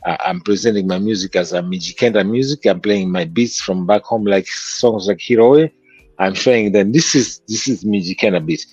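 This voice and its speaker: Kenyan man, low husky voice, reflective storyteller, dry dull lo-fi sound. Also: moderate pace, a little mellow, no echo. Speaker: guest musician. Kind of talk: interview conversation.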